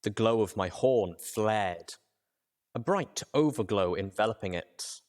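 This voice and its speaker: A man with a low voice narrates fiction in the first person. He reads from a script as an audiobook narrator, with a dramatic storytelling delivery. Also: animated, a little slow, a little mellow.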